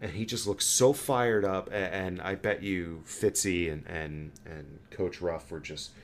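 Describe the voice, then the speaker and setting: A man with a low voice hosts a casual sports fan podcast. He tells stories in an animated way, often doubling back on his words as he goes. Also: unhurried, a little hiss or hum. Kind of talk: casual hockey fan chat.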